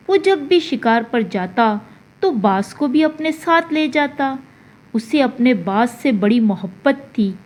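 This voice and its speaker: A woman narrating a scripted moral tale in a measured, deliberate storytelling manner. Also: a little high, a little mellow.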